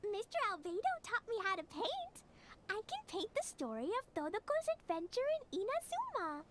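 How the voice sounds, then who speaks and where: Very high-pitched, cheerful little girl. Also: huge pitch swings, normal speed, fairly bright tone, a little hiss or hum, full character voice. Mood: cheerful, earnest. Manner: childlike, sweet, eager. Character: cheerful, innocent little girl who loves mischief. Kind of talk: anime game voice acting.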